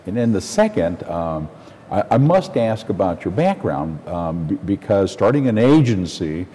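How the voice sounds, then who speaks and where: Deep husky male interview host. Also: warm tone. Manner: stammering restarts, leading questions. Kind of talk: business interview hosting. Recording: reverberant room.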